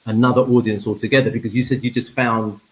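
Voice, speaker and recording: A man in his fifties, a comedian guest, telling stories in an animated way, laughing often and repeating words. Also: mid-range voice, fast, crowd noise. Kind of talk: casual chat, animated storytelling.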